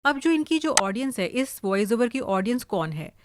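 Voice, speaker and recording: A woman's voice: a little high, big pitch swings, normal speed, noisy background. An Indian-accented woman who works as a voice-over artist, explaining in a casual tutorial style.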